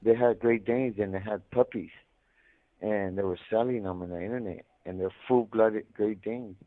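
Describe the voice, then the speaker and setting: A man's voice: on the low side, moderate pace. A man telling stories casually over a muffled phone line, rambling in slangy, profanity-heavy talk. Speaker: incarcerated caller telling his life story. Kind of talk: casual storytelling over a prison phone call. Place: prison, on a phone.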